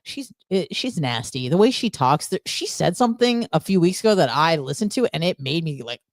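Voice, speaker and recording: A woman with a slightly low voice talks casually as a radio co-host. She is opinionated and blunt, gossips freely and peppers it with profanity. The sound is dry, with very little room. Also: big pitch swings, brisk, no room sound.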